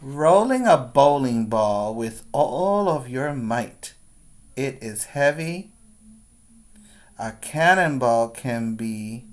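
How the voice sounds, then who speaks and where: Very slow-paced male preschool teacher reading aloud. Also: mid-range voice. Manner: deliberate, instructional phrasing with repeated questions. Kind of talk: read-aloud for young children with explanatory asides.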